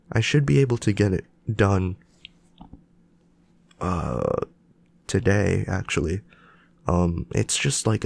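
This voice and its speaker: A young man hosts his solo podcast with a deep, gravelly voice, made nasal by a stuffed nose from a cold. He rambles casually, filling gaps with filler words. The sound is close and dry. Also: darkish tone, no echo. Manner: rambling, many fillers and restarts. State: sick with a cold. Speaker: podcast host.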